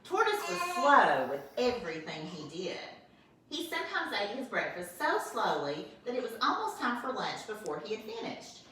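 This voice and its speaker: An older woman, a first grade teacher, reading a storybook aloud to children with expressive asides, filmed at home on a phone. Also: mid-range voice, normal speed, a little hiss or hum. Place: home, with a small grandchild nearby. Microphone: phone camera held by her son. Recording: echoey room, voice from a distance.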